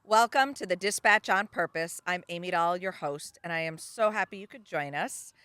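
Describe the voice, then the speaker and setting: A woman's voice: on the low side, normal speed, a bit bright, clear, resonant tone, faint music behind. A middle-aged woman hosting a podcast interview, upbeat and enthusiastic, often answering "yeah". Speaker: podcast host. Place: outdoors in a backyard.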